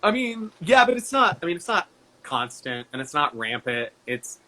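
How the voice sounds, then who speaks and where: A man's voice: a little high, very animated, normal speed, over a noisy crowd, rough recording. A man talking as a guest in a casual interview, joking and gossipy, with many fillers and restarts. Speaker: guest performer.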